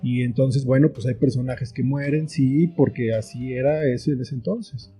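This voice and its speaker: A man's voice: mid-range voice, moderate pace, warm tone, slightly rough, soft background music. A man with a Mexican accent giving reflective, explanatory answers as an interview guest in a conversational style. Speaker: interview guest, screenwriter and author.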